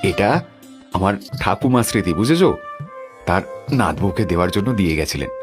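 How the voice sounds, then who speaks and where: A man's voice: low voice, big pitch swings, normal speed, over music. A man playing a dismissive, short-tempered young husband in a cartoon story. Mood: tender, confiding. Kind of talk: animated cartoon story dialogue.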